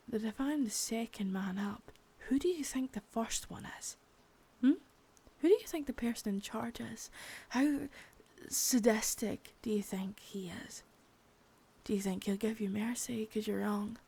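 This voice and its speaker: A woman's voice: on the high side, measured pace, pauses often, dark tone, heavily acted. A young woman playing a threatening, profane second-in-command crime boss in a whispered ASMR roleplay, close to the mic. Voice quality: husky whisper.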